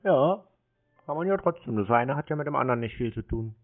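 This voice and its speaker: A man's voice: medium pitch, expressive, fairly quick, soft background music. A man talks casually over a thin, phone-like connection. His manner is dry and unruffled, and he keeps needling the other person in a provocative way. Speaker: prank call creator baiting call-centre scammers. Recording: narrow phone-band radio-like sound. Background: phone call.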